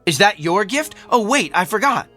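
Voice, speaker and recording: Vain, fame-seeking doctor, radio drama. High-pitched, very animated, full of himself. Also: male voice, moderate pace, faint music behind, full character voice. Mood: cocky, scornful. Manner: cocky, self-important. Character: arrogant, fame-hungry celebrity doctor. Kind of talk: theatrical radio drama acting.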